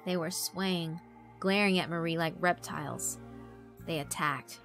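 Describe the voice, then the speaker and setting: A woman's voice: on the low side, unhurried, fairly bright tone. A woman narrator reading a scripted story in a dramatic storytelling style. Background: background music.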